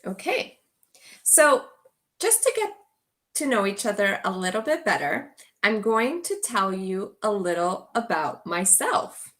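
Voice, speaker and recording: A woman teacher from Guatemala, giving a slow, clear orientation to an online English as a course. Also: medium pitch, sing-song, a bit bright. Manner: slow, deliberate delivery for language learners.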